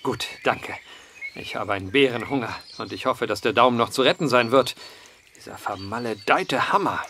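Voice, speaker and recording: An actor playing a wry, polite journalist who poses as a farmhand, speaking eloquently in a German audio drama. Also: male voice, mid-range voice, animated, moderate pace, pushed and bright, a little hiss or hum. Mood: polite, droll. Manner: eloquent, dryly ironic, polite. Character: wry truth-seeking journalist posing as clumsy farmhand.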